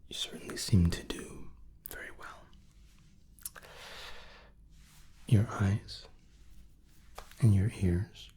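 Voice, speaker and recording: Slow, low whispering man in ASMR roleplay. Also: fairly even intonation. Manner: gentle, reassuring, unhurried. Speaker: ASMR roleplay host playing an examining doctor.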